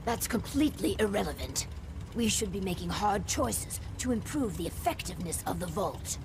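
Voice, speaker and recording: A woman voicing a cold, ambitious vault overseer in a video game, with a haughty, measured, formal manner. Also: mid-range voice, expressive, natural voice. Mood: disdainful, impatient. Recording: clear background machinery noise.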